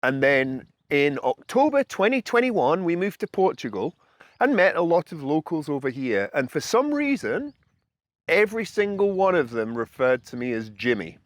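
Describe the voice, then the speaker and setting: Higher-voiced middle-aged Scottish man. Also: very animated, moderate pace, dry recording. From Scotland. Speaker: host of a food and travel channel. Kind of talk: casual, explanatory introduction to camera. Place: outdoors on a house balcony.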